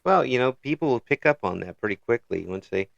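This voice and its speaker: A man's voice: mid-range voice, animated, fairly quick, vocal fry, no room sound, highs cut off. A man hosting a casual interview. He chuckles often and restarts his phrases. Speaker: interview host.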